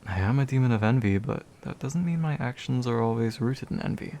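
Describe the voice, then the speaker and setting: A man playing a gentle, slightly bashful demon in a soft, soothing, intimate ASMR comfort roleplay. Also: mid-range voice, animated, gravelly, a little hiss or hum. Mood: calm, explanatory. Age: young adult. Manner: soothing, hushed, unhurried, tender.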